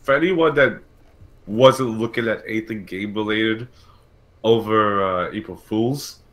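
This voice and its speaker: A man's voice: fairly low pitch, a little slow, fairly bright tone. A man hosting a casual gaming talk show, thinking aloud and correcting himself in a conversational way. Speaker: podcast host.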